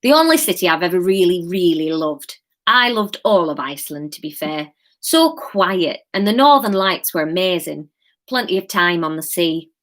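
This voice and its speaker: A woman's voice: lowish voice, sing-song, normal speed, fairly bright tone. A woman acts out an easygoing fisherman from a storybook, speaking with a Wigan accent. He is unfussy and straightforward, a plain-spoken man who states things simply. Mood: matter-of-fact, fond.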